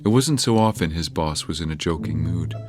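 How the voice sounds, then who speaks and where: A male storyteller narrating an audio drama, very deep and measured. Plucked guitar music plays clearly behind him. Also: expressive. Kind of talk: scripted narration.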